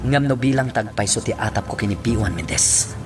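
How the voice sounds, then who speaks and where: An actor playing a stern, threatening police chief in a radio drama, with dramatic, emphatic delivery. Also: male voice, fairly low pitch, a little fast, lightly acted. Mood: brooding, suspicious. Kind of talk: Ilocano radio drama acting. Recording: loud music bed behind the voice.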